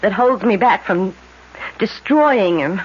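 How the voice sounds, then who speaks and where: An American actress playing a troubled young woman in a 1970s radio drama, halting and emotional in her delivery. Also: mid-range voice, animated. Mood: agitated, weary. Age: young adult. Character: troubled, overwrought young woman plagued by guilt and headaches. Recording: dull, noisy old radio broadcast.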